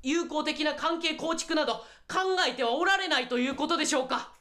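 A woman's voice: sits high, fairly quick, sharp tone. A woman playing an earnest, fervent envoy in a radio drama, speaking formally and theatrically. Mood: dismayed. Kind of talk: radio drama acting, formal diplomatic speech.

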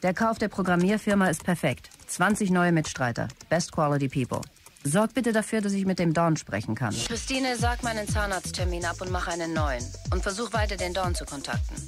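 A woman playing a brisk, businesslike start-up executive dictating messages in a German audio drama. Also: lowish voice, lively intonation, faint music behind, lightly acted.

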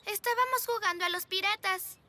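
A woman's voice: very high-pitched, brisk, bright, forceful tone, slight background noise, exaggerated voice. A woman with a Latin American Spanish accent playing a reasonable, friendly little girl zebra in a children's cartoon. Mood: reasoning.

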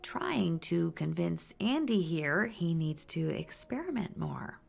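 An actress playing a wry, secretive small-town psychic in a video game, with a hushed, confiding manner. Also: female voice, lowish voice, sing-song, takes it slowly, creaky, full character voice.

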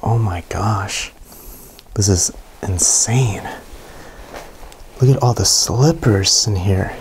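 A young man narrating a walkthrough of an abandoned mansion to a handheld camera, hushed and awed by what he finds. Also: fairly low pitch, takes it slowly, slight background noise. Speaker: urban explorer vlogger. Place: inside a large abandoned mansion.

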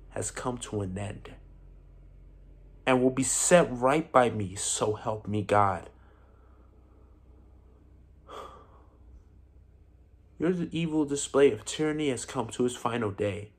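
Man playing a husky, slow-talking vengeful businessman. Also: medium pitch. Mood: cold triumph. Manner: slow, deliberate, brooding, with pointed pauses. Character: vengeful self-made Italian businessman, bitter, menacing, triumphant. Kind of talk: theatrical dramatic monologue.

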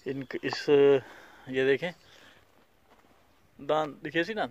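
A man's voice: fairly high pitch, takes it slowly. Man touring his cattle farm. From Pakistan. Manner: plain, repetitive pointing out of each animal's features. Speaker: cattle farm owner showing animals for sale. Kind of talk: casual walkthrough vlog. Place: outdoor dusty farmyard.

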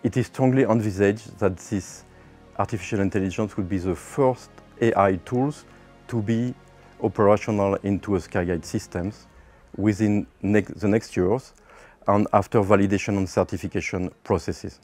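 A middle-aged man presents in a formal corporate interview style. His pitch is on the low side, and soft music runs behind him. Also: steady tone, moderate pace. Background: background music.

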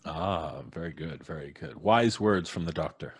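A man's voice: a bass voice, normal speed, breathy edge, no echo, studio quality. A man casually hosting a podcast livestream, speaking as the host on a video call. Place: indoor room, remote video call.

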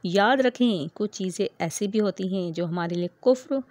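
A woman's voice: medium pitch, normal speed, darkish tone, no echo. Earnest woman narrating in a dry, close voice. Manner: earnest, preachy storytelling with religious warnings. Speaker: vlog narrator. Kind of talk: read-aloud voice-over narration.

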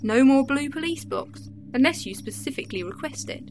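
A woman playing a sharp, exasperated Time Lady. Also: sits high, lively intonation, brisk, a little hiss or hum. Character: clever, exasperated female Time Lady companion. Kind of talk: amateur audio drama.

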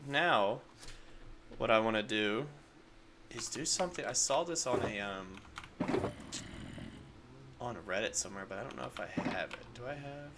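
A man's voice: medium pitch, background noise. A congested man rambling through casual, unedited gameplay commentary and talking to his chat. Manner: rambling, chatty, addresses chat as guys. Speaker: gaming creator.

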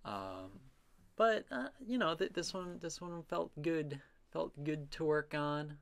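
A man's voice: on the high side, animated, slow, gravelly, fairly bright tone. A man narrating his own drawing process in a casual, unscripted voice-over, rambling and self-amused as his musings trail off.

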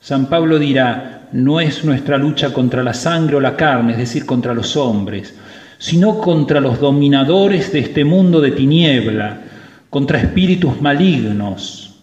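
A priest preaching a sermon at Mass, slow and emphatic, stressing key words. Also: male voice, mid-range voice, animated, a little mellow, highs cut off. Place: church. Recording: roomy church with long reverb.

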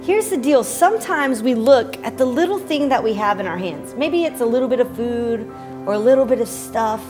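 A young woman giving a children's message in a bright, animated way, talking to kids in a living-room church set. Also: on the high side, normal speed, music in the background. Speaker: children's pastor.

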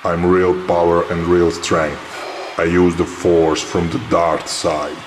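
A man playing a pompous, commanding masked dark-lord grandfather in a cartoon parody. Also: low voice, little pitch movement, slow pace. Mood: imperious. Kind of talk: cartoon parody character acting. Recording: loud background noise.

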